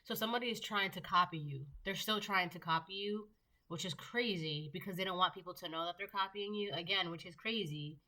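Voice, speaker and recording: A woman's voice: on the low side, a little fast, bright, forceful tone, poor audio. A woman with a stuffy nose giving a casual tarot reading. She rambles loosely, with many fillers and restarts. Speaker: tarot reader.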